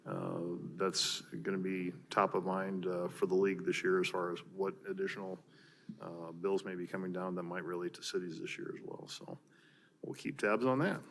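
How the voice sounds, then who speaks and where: An American man speaks in a low voice, reporting informally at a council meeting. His delivery is halting and full of fillers, and faint room noise sits behind him. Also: steady tone. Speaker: mayor giving board updates at a council meeting. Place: large council chamber, indoors. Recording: clear background room noise from meeting chamber.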